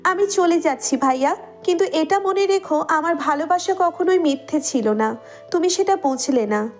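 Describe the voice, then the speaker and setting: Girl acting young lovesick woman in a romance story, high voice, hurt and pleading, music behind. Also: animated, moderate pace. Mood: hurt, insistent.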